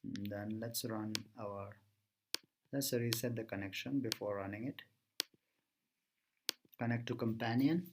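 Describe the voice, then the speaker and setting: Slow-paced man walking through a tutorial. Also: lowish voice, fairly even intonation, noisy background. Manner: thinks aloud step by step. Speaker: app tutorial presenter. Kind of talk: informal screen-recorded software tutorial.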